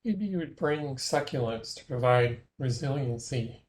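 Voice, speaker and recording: A man leading a guided imagery meditation in a gentle, measured way, leaving long pauses between phrases. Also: medium pitch, lively intonation.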